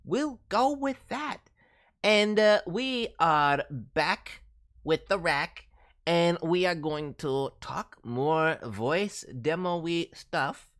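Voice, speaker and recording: A man's voice: on the high side, very slow, a bit bright. A man voicing a boastful, silly cartoon raccoon who hosts a livestream in a playful, showy, rambling way with cutely mangled words. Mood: upbeat, showy. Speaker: host reviewing voice demo reels on a livestream. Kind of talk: livestream chat in a cartoon character voice.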